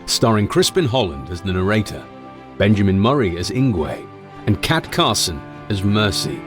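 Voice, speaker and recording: A man's voice: on the low side, big pitch swings, normal speed, fairly bright tone. A man reading a scripted title and credits announcement as an announcer. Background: music.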